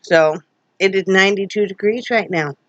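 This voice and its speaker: A woman's voice: medium pitch, moderate pace. A wheezy middle-aged woman with a sore throat, talking folksy and rambling at home. The sound is muffled and lo-fi, and the room is dry, with no echo. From Wyoming, USA. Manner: folksy, rambling, many fillers. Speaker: vlogger. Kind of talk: casual home vlog chat. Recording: dull lo-fi home recording.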